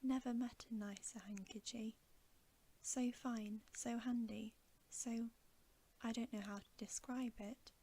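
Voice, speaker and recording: A woman plays a big courteous giant in a bedtime storybook. The speech is rustic and simple, whispered softly at a slow pace, with a mellow tone. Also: a little high, steady tone, slightly rough, full character voice. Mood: politely pleased. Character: huge polite, dim-witted male giant, puffed out. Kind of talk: whispered ASMR storybook reading.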